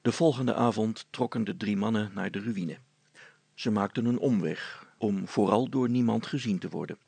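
Gravelly male storyteller. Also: on the low side, normal speed, warm tone, dry recording. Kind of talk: scripted story narration.

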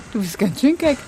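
An actress playing a brisk, down-to-earth foster mother in a radio drama, blunt and casual in manner. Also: mid-range voice, dark tone, slight background noise, rough recording. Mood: teasing.

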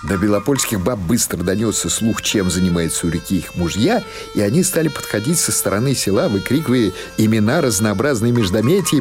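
A man narrates a piece of literary fiction in a low-pitched voice. His delivery is scripted, measured and expressive, in the manner of an audiobook storyteller. Faint music plays behind him.

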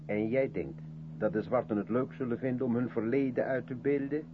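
An actor playing a sceptical, probing South African man with dry irony and measured questioning, in a 1970s Dutch radio drama. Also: medium pitch, fairly even intonation, natural voice. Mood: sceptical, pointed. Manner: questioning, dryly ironic, measured. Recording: muffled, noisy 1970s radio recording.

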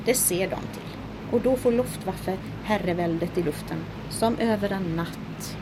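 A woman's voice: medium pitch, noisy background, close to an everyday voice. An actress playing a knowing, enthusiastic young woman engineer in an audio drama. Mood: earnest. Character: clever young Swedish woman engineer, spirited.

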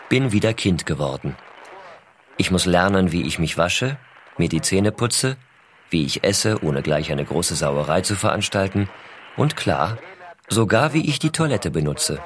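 Casting: tender astronaut dad in an audio drama, very low voice, intimate letter reading, ambient noise behind. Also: male voice, very animated, measured pace. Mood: playful, wondering. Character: American astronaut father writing tenderly to his small son.

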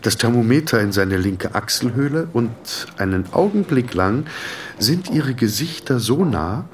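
A man narrating a literary audio drama in an introspective, dramatic way with deliberate phrasing. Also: mid-range voice, very animated, slight background noise.

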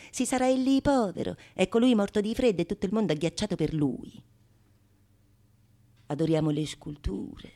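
An actress playing a shrewd, mocking courtesan in a theatrical radio monologue, declamatory and sardonic. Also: female voice, sits low. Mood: scornful, declamatory. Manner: declamatory, sardonic, swinging from sung refrains to hushed asides. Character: shrewd, mocking, calculating courtesan scorning her besotted suitor. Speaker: stage actress performing solo.

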